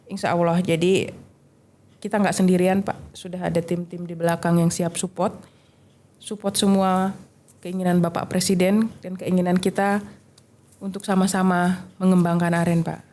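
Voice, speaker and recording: A woman's voice: fairly low pitch, level tone, moderate pace, a little mellow. Earnest woman guest in an interview. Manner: earnest, often ends with "Pak". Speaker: businesswoman guest. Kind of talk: talk show interview.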